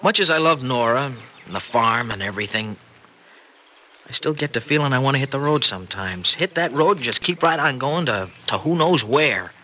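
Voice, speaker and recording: An actor in an old-time radio drama playing a troubled, restless married farmer with a wayward past, speaking colloquially in halting, earnest confessions. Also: male voice, mid-range voice, expressive, bright, forceful tone. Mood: restless, strained. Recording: vintage radio recording, faint noise.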